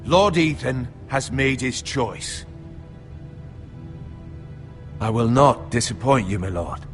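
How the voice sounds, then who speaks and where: A male actor as a shrewd, loyal sentinel in a fantasy game. His voice sits at a middling pitch and argues for caution, with soft music underneath. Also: animated, a little slow, close to an everyday voice. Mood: steady, dutiful. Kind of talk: dramatic video game voice acting.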